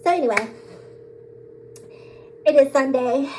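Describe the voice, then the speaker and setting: A bold, sassy woman with a somewhat high voice talks straight to her viewers in a casual vlog. She laughs often and drops "girl", "sis" and "baby" as she speaks her mind with full confidence. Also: takes it slowly, a little mellow, slight background noise. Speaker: vlogger. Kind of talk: casual to-camera monologue.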